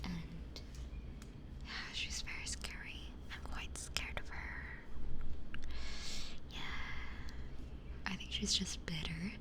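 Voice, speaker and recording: A woman streamer chatting casually in a breathy ASMR whisper into a close binaural microphone, telling stories in a candid, gossipy way. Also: on the low side, steady tone, slow, long pauses. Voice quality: breathy, mostly unvoiced whisper.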